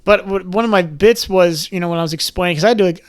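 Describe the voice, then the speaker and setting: Lively high-voiced young man telling stories. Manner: animated storyteller who slips into quick quoted voices. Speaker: podcast guest, comedian. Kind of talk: casual chat.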